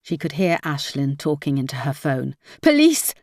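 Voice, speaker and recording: A woman's voice: a bass voice, fairly even intonation, moderate pace, darkish tone, no room sound, studio quality. A woman reading a crime novel aloud as scripted audiobook narration.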